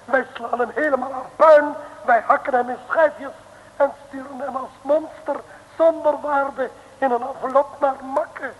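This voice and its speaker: An actor in a 1950s radio play playing a scheming villain who talks in broken grammar and boasts with slow menace. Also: male voice, very high voice, steady tone, dull audio, slight background noise.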